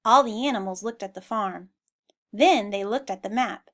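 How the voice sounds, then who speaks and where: A woman reading a children's storybook aloud in an expressive style. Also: mid-range voice, normal speed. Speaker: storybook reader.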